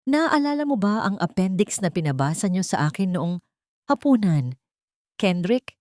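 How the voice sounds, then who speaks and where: A woman with a Filipino accent voicing a betrayed wife who speaks calmly and coldly in an audio storybook drama. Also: medium pitch, no echo, studio quality, natural voice. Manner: calm, cold, measured. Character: calm, cold betrayed wife, quietly vengeful.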